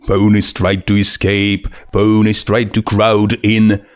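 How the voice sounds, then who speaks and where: Male audiobook narrator, lowish voice, very unhurried, deliberate delivery of comic fiction. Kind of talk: scripted story narration.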